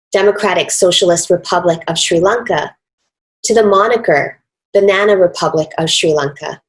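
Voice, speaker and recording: North american woman reading out an academic lecture, formal n deliberate, medium pitched voice. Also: a little mellow, poor audio. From Canada and the United States. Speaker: academic speaker, author and dance researcher.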